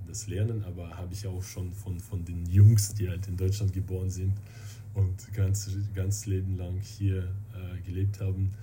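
A man's voice: low-pitched, little pitch movement, warm tone, a little hiss or hum. A man with a Russian accent and Russian as his native language, chatting casually and searching for words as a guest. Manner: halting, searching for words in non-native German. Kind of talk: casual interview chat.

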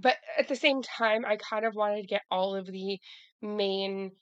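Hoarse woman co-hosting a podcast. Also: medium pitch, steady tone, measured pace, no echo, dull audio. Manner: chatty, many fillers and restarts. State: hoarse from a cold, losing her voice. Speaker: podcast co-host. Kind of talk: casual chat.

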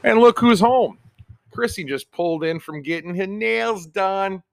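A man's voice: sits high, unhurried, creaky, soft background music. A middle-aged man hosting a casual podcast from home, rambling along with frequent ums and restarts. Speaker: podcast host.

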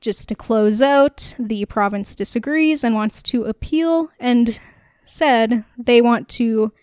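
A woman's voice: fairly high pitch, smooth, dry recording, clean studio sound. A woman co-hosting a casual political podcast, explaining at length with a wry, sarcastic edge and frequent laughs. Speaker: podcast co-host.

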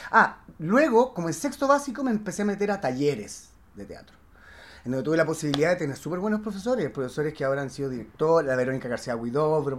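A man with a Chilean accent, an actor guesting on a casual podcast, telling anecdotes in an expressive, slangy way and repeating words for emphasis. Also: a little high, a little hiss or hum. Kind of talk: casual chat, personal anecdotes.

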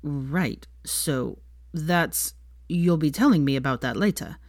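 A woman's voice: low-pitched, slow, a little mellow, no room sound, studio quality. A woman voicing a smug, teasing young male wizard in an audio story, breezily pleasant and drawling. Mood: breezy.

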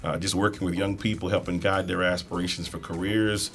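A man's voice: low-pitched, fairly even intonation, fairly quick, a bit bright, soft background music. A middle-aged or older man, a chief executive, introducing himself as a guest in a panel talk.

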